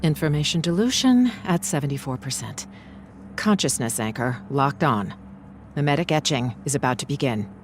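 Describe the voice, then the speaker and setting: Low-voiced, stern scientist. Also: female voice, expressive, normal speed, slight background noise. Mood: cold, clinical. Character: cold, stern, zealous female research scientist. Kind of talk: scripted video game voice acting.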